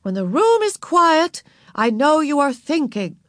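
A storybook art teacher, a woman, as a female narrator acts her out, with a lifted pitch. She is firm yet encouraging, instructing in measured phrases. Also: very animated, dry recording, clean studio sound.

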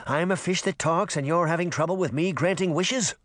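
A man's voice: on the high side, a little fast, fairly bright tone, no room sound, clean studio sound, pitched above the performer's own voice, natural voice. A man voicing a grouchy, sarcastic talking fish in a storybook, with a gurgling voice and blunt, complaining delivery. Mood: grumbling, blunt. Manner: gruff complaining, streetwise insults.

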